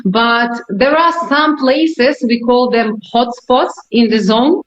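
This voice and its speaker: A woman's voice: a little high, takes it slowly. Female tour guide with Ukrainian accent, conversational and explanatory; dull lo-fi sound in an echoey room. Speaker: tour guide, interview guest. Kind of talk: conversational interview answers.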